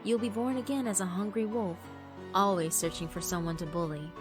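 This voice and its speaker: A woman's voice: medium pitch, fairly even intonation, brisk, over music, lightly acted. A woman voicing a scheming yokai sister who taunts and gloats in a theatrical, cackling manner. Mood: taunting. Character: gleeful scheming yokai sister, cruel and mocking.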